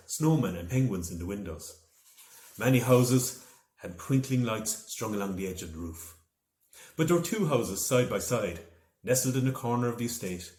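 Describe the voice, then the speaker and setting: A man with a lowish voice narrates a children's storybook. His delivery is expressive and dramatic. The room around him rings with noticeable echo. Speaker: author reading from own novel. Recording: echoey room.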